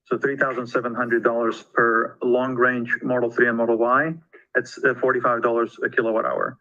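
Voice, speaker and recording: The sound is a lo-fi, radio-like conference call. A man with a bright, crisp-edged tone and middling pitch moderates. He reads out questions from investors in a formal corporate setting. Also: flat delivery, moderate pace.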